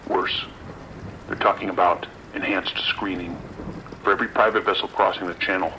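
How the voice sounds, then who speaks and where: A man's voice: deep voice, bright, forceful tone, slight background noise, poor audio. A man playing a stern, authoritative sheriff's lieutenant with a clipped delivery in an audio drama.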